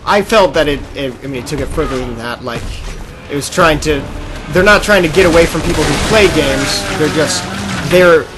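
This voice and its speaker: Man podcasting over loud game music, gunfire. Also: a little high, normal speed. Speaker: gaming podcast co-host. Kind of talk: casual commentary while playing a video game. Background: loud video game music and gunfire.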